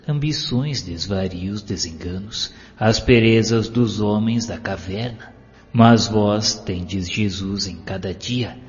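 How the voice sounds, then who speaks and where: A man reading a scripted devotional narration and poetry in a solemn, declamatory manner with drawn-out phrases. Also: on the low side, a little mellow. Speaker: audiobook narrator. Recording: dull lo-fi audio with long hall reverb. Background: clear music.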